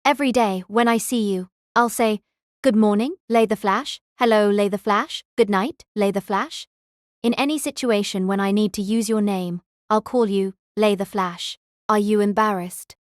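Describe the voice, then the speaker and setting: An emotionless young woman who speaks in a flat, level way, even while teasing, as a narrator puts her on. Her mid-pitched voice sounds dry and close to the mic. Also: moderate pace, no echo, lightly acted. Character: calm, deadpan young woman student.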